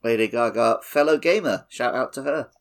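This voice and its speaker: A man with a medium-pitched voice chats as co-host of a gaming podcast. His delivery is casual and conversational, with a wry, joking streak. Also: moderate pace, creaky.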